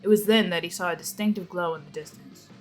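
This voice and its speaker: A woman's voice: fairly low pitch, quick pace, faint music behind, poor audio. A woman reading a scripted action story aloud as a dramatic narration. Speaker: narrator of a fan fiction reading.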